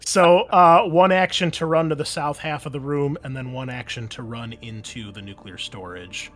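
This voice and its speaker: A man's voice: mid-range voice, moderate pace, a bit bright. A man running a tabletop roleplaying game, narrating scenes and explaining rules to players in a casual, informal way.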